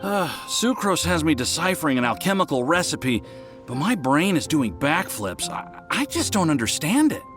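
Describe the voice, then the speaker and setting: A flustered young apprentice talks in a mid-pitched voice that rises and falls a great deal. He sighs often and stumbles over his words. Game music plays underneath. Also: big pitch swings, moderate pace. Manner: flustered, sighing. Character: anxious young male alchemy apprentice. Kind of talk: video game dialogue.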